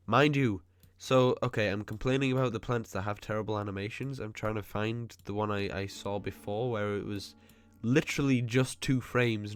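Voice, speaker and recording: A man's voice: fairly low pitch, clean tone. Man with a stuffy, nasal cold voice. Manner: nitpicking, chatty, quick asides. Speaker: gaming video host. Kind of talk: casual gameplay commentary.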